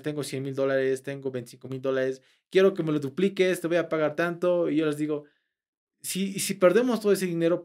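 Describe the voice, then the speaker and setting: Young man explaining trading. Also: a little high. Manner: conversational, many fillers and restarts. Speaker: trading instructor. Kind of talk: explaining to camera. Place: small indoor room.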